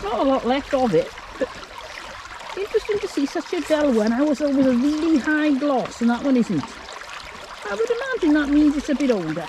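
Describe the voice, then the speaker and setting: A woman's voice: high voice, very animated, brisk, noisy background. A woman giving casual, curious commentary while searching a stream bank, asking viewers what they think. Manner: curious, musing, asks viewers questions. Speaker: vlog co-host. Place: outdoors by a woodland stream.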